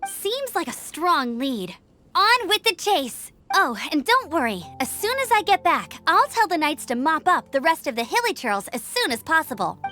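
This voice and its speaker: A woman voicing a keen, confident young female scout knight in an anime-style game, earnest and brisk. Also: high-pitched, big pitch swings, pushed and bright, faint music behind, exaggerated voice. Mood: concerned, resolute.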